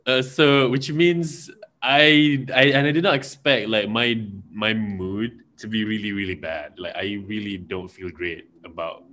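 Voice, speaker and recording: A man's voice: on the low side, fairly even intonation, measured pace, few pauses, fairly bright tone, a little hiss or hum. A young Malaysian man with a Malaysian accent, co-hosting a podcast in casual, rambling chat full of fillers and restarts. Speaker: podcast co-host.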